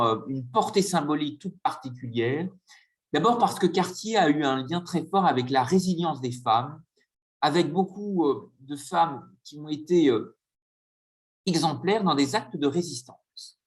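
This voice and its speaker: A man giving a conference lecture with slides, speaking hesitantly with frequent filler pauses. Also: on the high side.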